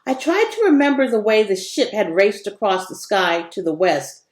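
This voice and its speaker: A woman reads a children's story aloud in an expressive narrating style. Her pitch moves around a lot from phrase to phrase. The room around her adds a clear echo. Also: moderate pace. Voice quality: animated, varied intonation. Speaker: educator reading a children's book aloud. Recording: echoey room.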